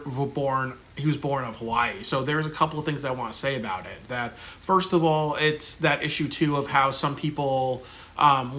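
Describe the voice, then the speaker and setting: A man's voice: mid-range voice, pushed and bright, a little hiss or hum. A man giving a casual, rambling spoken review, full of fillers like "um" and "you know" and frequent restarts. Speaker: video reviewer.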